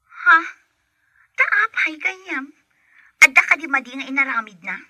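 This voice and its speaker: A woman playing an excitable friend on a call with a nanny, exclaiming and gossipy, in a radio drama. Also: sits high, dry recording, heavily acted. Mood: alarmed. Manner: exclaiming, gossipy, quick to alarm.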